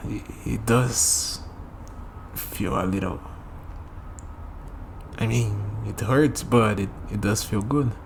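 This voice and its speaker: A man playing a curious, skeptical young human in an ASMR vampire roleplay, talking hesitantly to the listener and pausing for replies, with steady noise behind him. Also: lowish voice, creaky. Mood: dazed, amazed. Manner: hesitant, conversational, leaves pauses for unheard replies.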